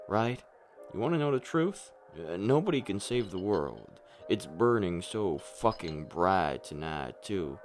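A man's voice: on the low side, a little hiss or hum, close to an everyday voice. A man acting a weary, hard-drinking, despairing superhero in a darkly comic radio play, brooding and rambling with a sardonic edge. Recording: music playing under the voice.

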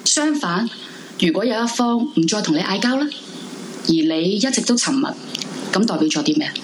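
A woman's voice: medium pitch, animated, moderate pace, background noise, close to an everyday voice. An actress playing a distraught, clinging young woman in a radio drama, in Hong Kong Cantonese, with a melodramatic delivery. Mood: brooding, bitter. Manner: pleading, melodramatic, shifting between hushed monologue and frantic cries. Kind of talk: Cantonese supernatural radio drama, acted live with first-person narration. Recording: loud music behind the voice. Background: music.

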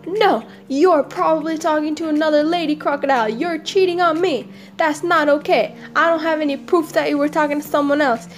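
A woman's voice: sits high, little pitch movement, fast, hardly any pauses, fairly bright tone. A woman voicing a jealous, suspicious crocodile wife in a storybook tale, accusing and bossy. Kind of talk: casual storybook character voice.

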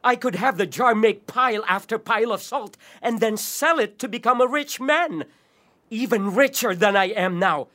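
A man's voice: high voice, normal speed, fairly bright tone. A man playing a greedy, bossy, impatient older brother in a demanding, blustering manner for a children's audio drama. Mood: angry, greedy.

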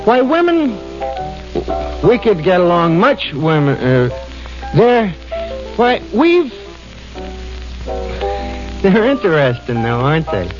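Bumbling comic sidekick. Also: male voice, high voice, wildly swooping pitch, slow, pauses often, heavily acted. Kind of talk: 1940s radio acting. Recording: dull old radio broadcast with music behind.

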